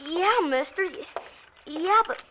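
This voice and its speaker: A woman's voice: extremely high pitch, expressive, slow, heavily acted. A woman playing an eager, polite and naive young boy in an old-time radio drama, hesitant and stammering. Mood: nervous, hesitant. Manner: hesitant, stammering, wide-eyed. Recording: dull, hissy vintage radio recording.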